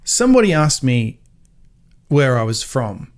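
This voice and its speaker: A man's voice: medium pitch, big pitch swings, a little slow. A man from Sydney with a mild Australian accent, rambling and restarting sentences as a podcast co-host in casual chat. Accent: mild Australian, softened by years in America. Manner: rambling, restarts mid-sentence, laughs easily.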